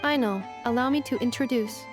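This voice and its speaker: A woman voicing a composed, dutiful female robot caretaker in a video game, speaking in a formal, measured, deadpan way. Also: on the high side, loud music behind the voice, natural voice.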